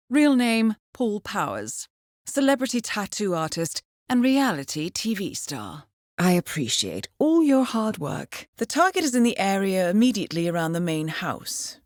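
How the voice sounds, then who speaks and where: A woman playing a cool, composed intelligence handler in a video game, giving mission briefings in a measured, formal, dryly witty manner. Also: mid-range voice, big pitch swings, no echo, clean studio sound. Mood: dryly wry.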